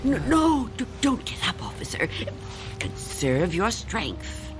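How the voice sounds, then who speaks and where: An actress playing an anxious, reclusive old spinster in a radio drama, hesitant and fretful. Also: female voice, medium pitch, big pitch swings, slow pace. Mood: tender, nervous. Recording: radio drama with music underneath.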